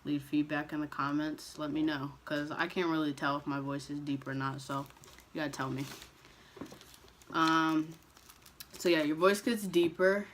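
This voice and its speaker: A young man chatting casually to camera as a vlogger, relaxed and loose, with frequent ums and restarts. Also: fairly high pitch, normal speed, slight background noise.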